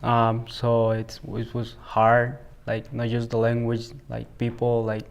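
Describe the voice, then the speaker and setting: A man's voice: mid-range voice, completely flat delivery, vocal fry, clean tone. Teenage boy with a Salvadoran accent. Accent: Salvadoran Spanish. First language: Spanish. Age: teens. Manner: halting, many fillers like "like" and "you know". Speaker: high school student. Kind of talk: interview answers. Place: school, indoors.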